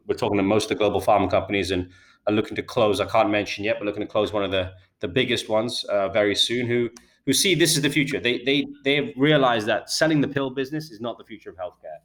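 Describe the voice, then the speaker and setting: A British man in his thirties answering interview questions about his company, talking quickly and often repeating words as he restarts. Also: on the low side, fairly bright tone. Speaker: healthcare data company founder.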